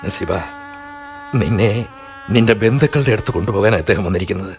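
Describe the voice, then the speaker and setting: A man's voice: fairly low pitch, a little fast, soft background music, lightly acted. A man playing a desperate husband in a radio drama, who pleads and sometimes rages. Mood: anguished. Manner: emotional, pleading, sometimes outraged. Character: desperate husband, middle-aged schoolmaster. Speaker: husband and narrator.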